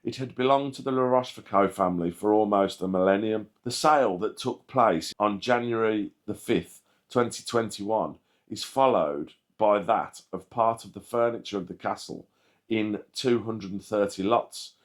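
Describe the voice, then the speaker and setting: A man's voice: lowish voice, moderate pace. A man narrating scripted history as a channel host, reading aloud.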